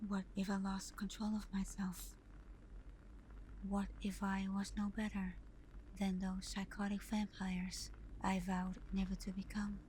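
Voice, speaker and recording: A woman playing a tender, devoted vampire girlfriend in an ASMR roleplay, speaking intimately in a soft, breathy whisper with gentle pauses. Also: medium pitch, completely flat delivery, slight background noise, close to an everyday voice, rough recording. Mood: confiding, earnest.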